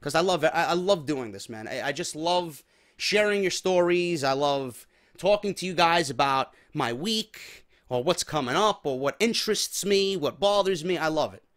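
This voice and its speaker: Higher-pitched New York man, casual podcast host. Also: expressive, moderate pace, a bit bright. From New York, USA. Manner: informal, heavy swearing, emphatic. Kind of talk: casual chat to viewers.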